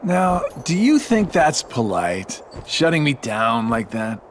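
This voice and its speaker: A smug game villain, who takes his time over every mocking word. His pitch swings up and down in a casual, teasing drawl. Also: sing-song, slow pace, slight background noise. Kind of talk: video game cutscene acting.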